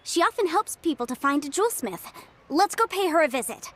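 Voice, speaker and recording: A brisk young legal advisor. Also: female voice, high-pitched, big pitch swings, noisy background. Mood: confident. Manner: brisk, self-assured, playful. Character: young woman legal advisor, sharp and confident. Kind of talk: game voice acting. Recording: studio voice over game music.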